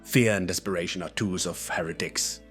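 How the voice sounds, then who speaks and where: A man's voice: fairly low pitch, lively intonation, takes it slowly, a bit bright. A man voicing a stern zealot knight over music. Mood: stern, accusing. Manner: snapping, formal archaic speech. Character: stern, cruel, accusing male knight zealot.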